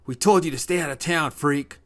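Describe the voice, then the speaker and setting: Man putting on a jeering, high-pitched teenage bully. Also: a little fast, a bit bright, natural voice. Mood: jeering menace. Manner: jeering, threatening. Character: mean sneering teenage village bullies.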